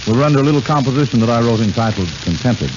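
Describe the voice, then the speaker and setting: A man's voice: medium pitch, animated, rapid-fire, lightly acted. A man playing a pompous, college-educated orchestra leader in 1930s radio comedy, speaking in big ornate words. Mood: self-important. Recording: muffled, heavily crackling old radio broadcast.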